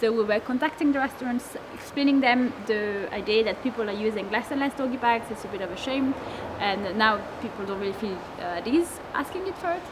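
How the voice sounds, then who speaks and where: A woman's voice: fairly high pitch, lively intonation, brisk, hardly any pauses. A woman in her twenties from Lebanon and France, founder of a food waste network, answering interview questions casually with many fillers and restarts. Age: 20s. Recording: noisy background.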